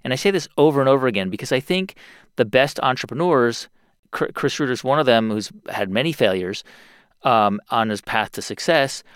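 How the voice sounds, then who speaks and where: A man's voice: mid-range voice, lively intonation, brisk, clear, resonant tone, no room sound. A friendly male host takes a caller on his advice show, recorded dry and close. He often doubles his words mid-thought before landing his point. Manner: friendly, repeats words while thinking.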